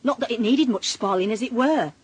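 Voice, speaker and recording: A woman playing a sharp-tongued, exasperated working-class wife in a radio drama, with a Northern English accent and a blunt, sardonic manner. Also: on the high side, fairly quick, husky. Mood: wry confiding. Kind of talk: naturalistic radio drama acting.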